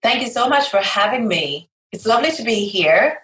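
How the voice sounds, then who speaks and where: A woman's voice: on the high side, lively intonation, moderate pace, a bit bright, roomy sound, poor audio. A middle-aged woman from Sweden with a Swedish accent, a guest telling her story hesitantly with many restarts, her first language being Swedish. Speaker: guest, fitness and yoga educator. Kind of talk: interview, personal story. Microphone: video call.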